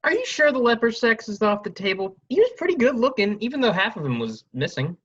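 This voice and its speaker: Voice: medium pitch, sing-song, fast, fairly bright tone, breathy edge, lo-fi sound. An actor playing a cheeky, crude drinking buddy who teases sardonically in a comic play reading. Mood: crude, teasing. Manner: sardonic, teasing.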